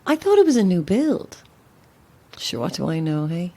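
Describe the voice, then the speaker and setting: A woman's voice: fairly low pitch, big pitch swings, normal speed, warm tone, slight background noise. A woman playing a flustered, self-dramatizing struggling actress who rambles theatrically to herself in an audio drama. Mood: wry, musing. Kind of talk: modern audio drama acting.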